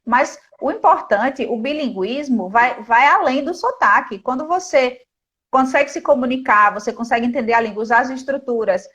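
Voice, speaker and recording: A woman's voice: sits high, moderate pace. A woman who teaches English, hosting a casual, chatty interview with a former student. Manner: chatty, lively.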